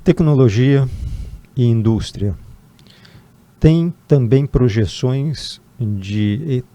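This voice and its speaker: A man's voice: mid-range voice, very slow, warm tone. A man hosting an interview, asking long formal questions and giving brief murmurs of agreement. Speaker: interviewer, host.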